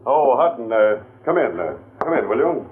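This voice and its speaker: A man playing a police lieutenant who speaks in a measured way with thoughtful pauses, in 1940s radio acting style, on a tinny old radio recording. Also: medium pitch, very animated, slight background noise, close to an everyday voice. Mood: accusing. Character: calm, probing male police lieutenant.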